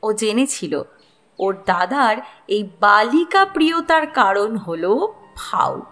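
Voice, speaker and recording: A woman narrating a scripted story as its host, in an expressive storytelling style with music underneath. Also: mid-range voice, slow.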